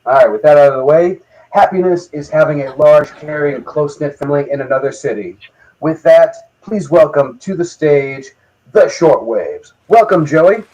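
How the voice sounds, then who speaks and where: A man hosting a podcast, reading scripted bits and interviewing guests in a deadpan, profane comic manner. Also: on the high side, moderate pace, rough recording. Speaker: podcast host. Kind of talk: scripted show monologue and band interview.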